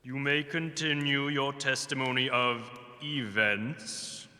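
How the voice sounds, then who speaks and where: A man's voice: medium pitch, fairly even intonation, slow pace, bright, forceful tone. An actor playing a haughty, stern ruler who presides formally over his court in an audio drama. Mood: stern, disdainful. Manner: formal, imperious. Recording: echoey great hall.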